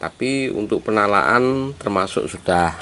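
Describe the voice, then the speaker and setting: A man's voice: lowish voice, expressive, measured pace. A man giving a casual hands-on repair demonstration, addressing viewers informally as friends. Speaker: hobbyist repairer presenting a how-to video. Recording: loud mechanical and tool noise.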